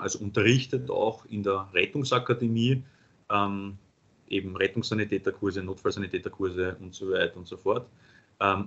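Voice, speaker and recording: A paramedic lecturer with an Austrian accent presenting a webinar, pausing often with fillers. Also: male voice, on the low side, a bit bright, breathy edge. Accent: Austrian German. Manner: halting, many filler sounds. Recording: dull lo-fi webinar audio.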